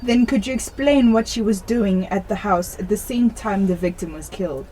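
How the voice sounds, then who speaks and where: A woman's voice: mid-range voice, normal speed, warm tone, a little hiss or hum, close to an everyday voice, poor audio. A teenage woman playing a stern, businesslike police detective in an amateur radio play. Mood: stern, questioning.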